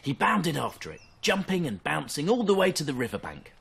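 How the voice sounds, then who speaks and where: A man reading a bedtime story to young children in an expressive storytelling style. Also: a little high, a little fast, creaky, slightly rough.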